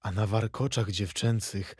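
Slow, wistful male poet. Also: fairly low pitch, fairly even intonation, breathy edge, no room sound, studio quality. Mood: sombre. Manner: slow, dramatic, dropping at times to hushed tones. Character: poet reciting his childhood memories and dark verse, wistful. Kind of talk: literary radio play recitation.

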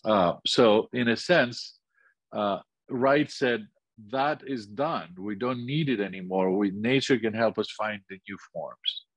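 A man's voice: fairly low pitch, no echo, poor audio. Greek-accented older man lecturing thoughtfully. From Greece. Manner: thoughtful, many restarts and pauses. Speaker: architecture teacher. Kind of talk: illustrated lecture.

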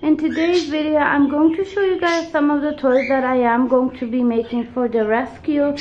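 A woman's voice: high voice, fairly even intonation, normal speed, darkish tone. A congested woman hosting a home craft video, rambling with many ums and self-corrections as she shows her work off camera. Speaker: craft channel host. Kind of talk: casual show-and-tell narration. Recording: dull lo-fi sound, faint noise.

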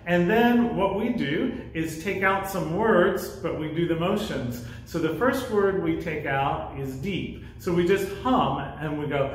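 A man's voice: a little high, a little slow, few pauses. An American man in his fifties explaining things simply and encouragingly, as a music leader guiding children through a sing-along. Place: church music classroom with a piano. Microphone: camera microphone a few feet away. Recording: very echoey room, camera-distance sound.

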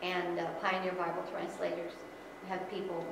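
A middle-aged woman from the Philippines giving an informal Sunday school talk as guest speaker, chatty and quick to laugh, often correcting herself mid-sentence. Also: lowish voice, monotone, noisy background. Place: large church sanctuary. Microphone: far camera. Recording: echoey church hall from far off.

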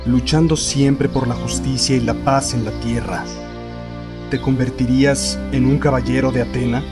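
A man with a Latin American accent playing a noble, calm and earnest young warrior mentor in an anime fan dub. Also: mid-range voice, normal speed, over music, lightly acted. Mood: calm, solemn.

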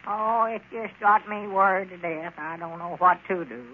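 Male actor as henpecked old country man, high voice, Southern drawl, plaintive, folksy, scratchy 1930s radio comedy. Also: moderate pace, phone-quality sound, natural voice. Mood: worried. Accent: rural Southern American. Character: fretful, henpecked old rural shopkeeper, easily worried.

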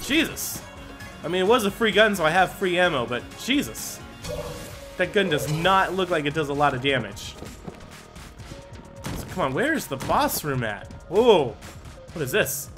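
Brief: upbeat young male gamer, slightly high voice, excitable and chatty, loud game music underneath. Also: a little slow, long pauses. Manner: excitable, exclaims often, stammers and restarts. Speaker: gaming YouTuber. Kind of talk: casual let's play commentary.

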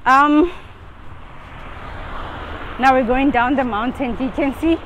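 A young woman in her twenties, chatting slowly and a little out of breath as she walks outdoors and talks to her viewers through an earphone mic. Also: sits high, a bit bright, slight background noise, rough recording. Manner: chatty, slow, laughing, out of breath from walking uphill. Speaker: vlogger. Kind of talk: casual walking vlog. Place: suburban street outdoors. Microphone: earphone mic, phone at arm's length.

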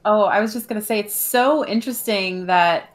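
A woman's voice: medium pitch, normal speed. A woman co-hosting a podcast, speaking in casual discussion. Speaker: podcast co-host.

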